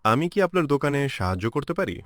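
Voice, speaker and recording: Man playing an earnest village boy, lively intonation. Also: mid-range voice, fast, close to an everyday voice. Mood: humble, hopeful. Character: poor, hardworking, earnest village boy with big dreams. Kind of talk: cartoon story dialogue.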